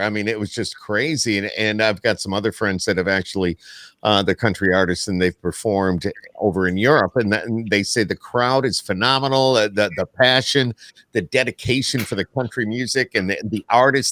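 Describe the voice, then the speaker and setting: A man's voice: on the low side, fairly quick, a bit bright, no echo. An American man hosting a casual interview, sharing his opinions with enthusiasm. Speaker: podcast host.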